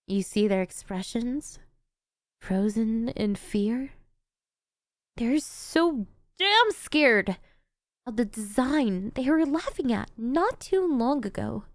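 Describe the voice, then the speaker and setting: Woman acting slow-talking, obsessive supervillainess. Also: a little high, no room sound, studio quality. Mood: gloating, menacing. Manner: theatrical, coaxing, intimate. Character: obsessive female supervillain, doting, menacing, easily flustered. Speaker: voice actress. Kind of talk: ASMR roleplay acting, addressing the listener.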